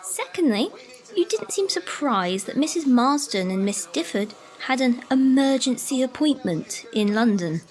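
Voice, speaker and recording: An amateur actress playing a wry, knowing care worker in a radio play, gently sardonic and mock-scolding. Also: female voice, high voice, lively intonation, measured pace. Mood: knowing, teasing. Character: wry, conspiratorial female care-home worker, knowing and affectionate.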